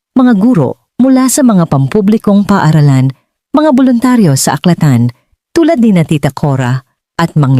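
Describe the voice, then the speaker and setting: A Filipino woman narrating a scripted dramatic story in measured, earnest phrases. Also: sits low, dark tone, no echo, clean studio sound. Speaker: audiobook narrator.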